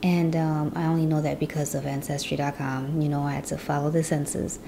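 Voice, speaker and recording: Low gravelly-voiced woman chatting. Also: level tone, brisk, noisy background. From Chicago, US Midwest. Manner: chatty, many fillers like "you know". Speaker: vlogger. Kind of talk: personal story vlog.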